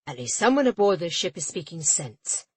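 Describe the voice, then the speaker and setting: A man's voice: on the high side, measured pace, pushed and bright, husky, dry recording, voice pushed down, full character voice. A woman doing a pompous, blustering English official. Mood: blustering, indignant. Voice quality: clipped, fussy officiousness. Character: pompous, blustering older male port official.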